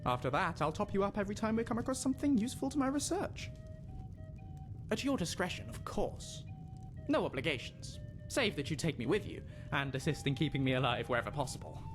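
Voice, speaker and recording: A man's voice: high-pitched, big pitch swings, a little fast. A man playing an eager, bookish young scholar in a video game, polite, wordy and well-spoken, with a self-deprecating manner. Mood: sheepish, courteous. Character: eager, bookish young male scholar adventurer from a fantasy empire. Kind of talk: video game character dialogue. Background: music.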